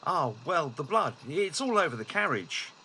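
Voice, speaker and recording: Man as a bright-voiced, bumbling police inspector. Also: a little high, sing-song, a little hiss or hum, full character voice, rough recording. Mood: flustered, earnest. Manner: hesitant, halting. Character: hesitant, bumbling Victorian male police inspector.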